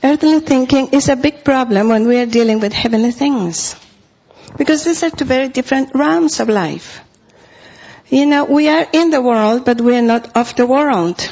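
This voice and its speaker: A woman's voice: high voice, a little mellow, breathy edge, highs cut off. A middle-aged woman from Costa Rica with a Spanish accent, preaching a sermon in an earnest way and repeating phrases for emphasis.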